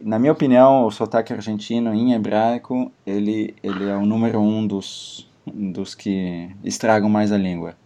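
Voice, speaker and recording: Low, mellow-voiced podcast host. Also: male voice, steady tone, moderate pace, few pauses. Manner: opinionated, steers the conversation with questions. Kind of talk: casual chat.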